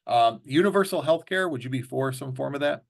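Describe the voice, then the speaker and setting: A man's voice: medium pitch, lively intonation, fairly quick, gravelly. A middle-aged man hosting a casual political interview, chatty and fond of joking hypothetical questions. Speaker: interview host.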